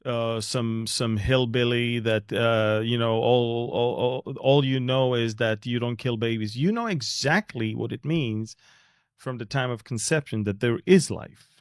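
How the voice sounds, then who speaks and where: A man's voice: mid-range voice, a little slow, clean tone, no room sound, clean studio sound. A man with an Israeli accent hosting a podcast and interviewing a guest in a conversational style.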